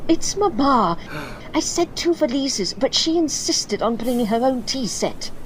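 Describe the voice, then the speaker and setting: A woman's voice: high voice, big pitch swings, a little hiss or hum, poor audio. A woman playing a polite, earnest young Edwardian lady in an audio drama, with a formal English manner of speech. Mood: helpful, brisk. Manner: genteel, measured, formal.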